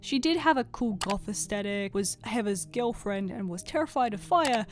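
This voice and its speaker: A woman's voice: medium pitch, lively intonation, normal speed. A young adult woman giving casual, scripted voice-over commentary as an artist, chatty and informal with a self-deprecating manner. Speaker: artist and video creator.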